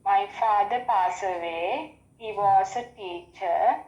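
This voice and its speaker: A woman's voice: medium pitch, takes it slowly, a little mellow. A woman from Sri Lanka with a Sri Lankan accent, a student who hesitantly repeats each question before she answers it. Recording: dull, echoey call audio.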